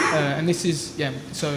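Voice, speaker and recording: A man, an artist, talking at a public panel, hesitant with many stumbling restarts. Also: on the high side. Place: art gallery talk before an audience. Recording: echoey gallery with background noise.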